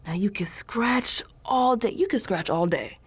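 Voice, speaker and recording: A woman's voice: medium pitch, measured pace, breathy edge, no room sound. An actress playing an exasperated, sassy young American woman in a colloquial radio drama monologue, slangy and confessional. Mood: threatening, indignant. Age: young adult. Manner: slangy, sassy, animated, sighing asides.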